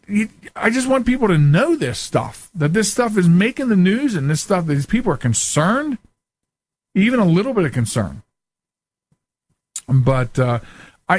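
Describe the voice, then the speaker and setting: A man's voice: a little high, dry recording. A middle-aged man hosting a talk show in a casual, rambling monologue, often interrupting himself and wandering off on asides. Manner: chatty, digressive, self-interrupting.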